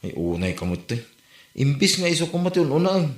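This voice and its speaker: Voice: mid-range voice, big pitch swings, a little fast, close to an everyday voice. A performer with an Ilocano accent playing a nagging, teasing character who keeps questioning in a comedy drama. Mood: incredulous. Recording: echoey room with music behind.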